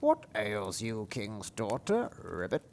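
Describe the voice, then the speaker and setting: A man voicing a sly, bargaining frog in a read-aloud fairy tale, speaking slowly and low with croaks and ribbits. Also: wildly swooping pitch, darkish tone, a little hiss or hum, exaggerated voice.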